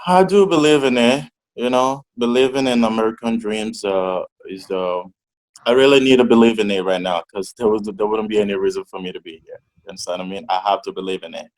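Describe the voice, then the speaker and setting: A man's voice: lowish voice, brisk, low-quality recording. A young man from Togo answering interview questions, with many restarts and fillers, on a video call. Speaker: interview guest.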